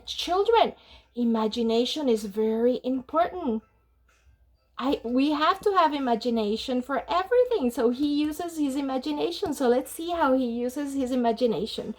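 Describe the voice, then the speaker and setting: A woman's voice: high-pitched, a little mellow. A woman teacher talking to children before a read-aloud, asking them questions and mixing Spanish with English. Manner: bilingual, mixes Spanish and English mid-sentence. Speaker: dual language teacher.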